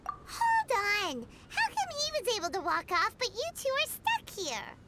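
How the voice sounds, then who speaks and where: A woman voicing a tiny, excitable, blunt fairy girl companion in a video game, with a squeaky childlike delivery. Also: a little slow, very noisy, heavily acted. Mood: indignant. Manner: squeaky, refers to self in third person. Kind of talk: video game character acting.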